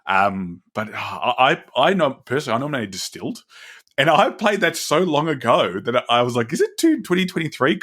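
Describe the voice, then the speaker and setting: A man's voice: medium pitch, big pitch swings, brisk, fairly bright tone. A man with a country Victorian accent, co-hosting a casual board game podcast, joking and restarting his sentences often. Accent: Australian, country Victorian. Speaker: podcast co-host. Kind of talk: casual chat.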